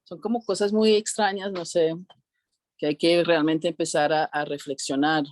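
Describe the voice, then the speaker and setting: A woman's voice: low voice, steady tone, moderate pace, darkish tone, no room sound, studio quality. A woman speaking as an interview guest in a reflective, informal way, often checking with short tag questions. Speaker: interview guest, cultural organizer.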